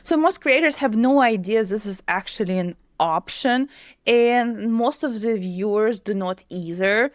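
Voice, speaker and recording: A woman's voice: fairly high pitch, measured pace, no room sound, clean studio sound. Woman explaining to camera. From Ukraine. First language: Russian. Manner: earnest, patient explaining with small grammar slips. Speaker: YouTube creator.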